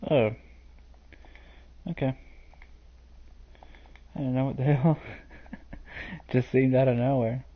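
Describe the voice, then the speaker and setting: A man's voice: medium pitch, fairly even intonation, takes it slowly, long pauses, highs cut off. A man streaming a video game, chatting to viewers in a rambling, hesitant way full of fillers. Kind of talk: live gameplay commentary.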